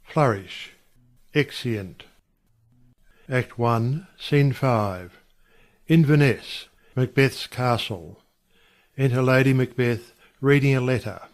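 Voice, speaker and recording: A man's voice: medium pitch, takes it slowly, pauses often. A man narrating scripted stage directions and scene headings for an audio play.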